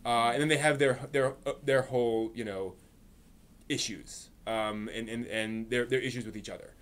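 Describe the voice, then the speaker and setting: A man with a slightly high voice hosting a casual anime review, explaining in a halting way with repeated words and emphatic asides. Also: fairly even intonation, fairly bright tone. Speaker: host reviewing anime on a live stream.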